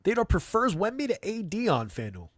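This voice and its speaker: A man's voice: high voice, huge pitch swings, fairly quick, fairly bright tone, no echo. A man hosting a live fantasy basketball stream, talking through analysis off the cuff in a conversational, dry way. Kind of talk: live unscripted sports analysis with chat replies.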